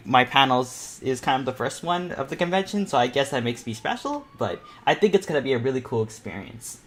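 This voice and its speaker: A teenage male streamer chatting casually as host of an online painting panel, nervous and excitable, in a rambling way. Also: mid-range voice. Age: teens. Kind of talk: casual livestream chat. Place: indoors at a computer, live stream.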